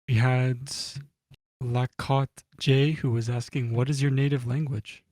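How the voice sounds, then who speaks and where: A man hosting a podcast, reading out listener questions in a relaxed, casual way. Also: medium pitch, unhurried, no room sound, clean studio sound. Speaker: podcast host.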